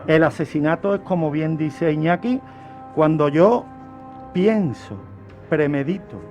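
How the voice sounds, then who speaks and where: A man's voice: a little high, a little slow, darkish tone, highs cut off, faint music behind. A man giving didactic, emphatic explanations in a radio discussion. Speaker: criminology commentator on a radio show.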